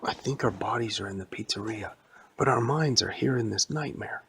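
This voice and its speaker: A man's voice: lowish voice, normal speed, gravelly, noisy background. A man voicing a puzzled male game sidekick with a questioning, exclamatory delivery. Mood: scared.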